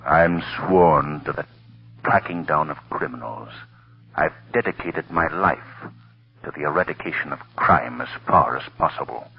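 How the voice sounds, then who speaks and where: A very deep, mysterious unseen crime fighter. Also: male voice, moderate pace, gravelly, slightly rough, exaggerated voice. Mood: grave. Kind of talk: 1930s radio drama acting. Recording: muffled old radio recording.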